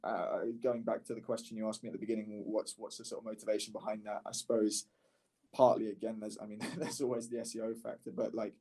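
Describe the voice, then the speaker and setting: A man's voice: lowish voice, level tone, fast, warm tone. A man speaking casually as a podcast guest and startup founder, candid and often rephrasing mid-sentence. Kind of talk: casual conversational podcast interview.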